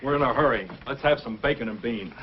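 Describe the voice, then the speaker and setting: A man's voice: medium pitch, fast talker, slight background noise, close to an everyday voice. An actor playing an impatient, jumpy outlaw henchman in an old-time radio adventure, speaking curtly and edgily. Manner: curt, edgy. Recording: muffled vintage radio recording.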